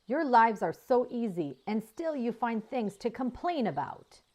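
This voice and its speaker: A woman's voice: fairly high pitch, big pitch swings, measured pace, a little mellow, pitched above the performer's own voice. Lady reading as an old mama cow from a kids book, grumbly, scolding, seen it all. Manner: chiding, reflective. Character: grumbling, world-wise old female cow, grateful and scolding. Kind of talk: children's storybook character voice.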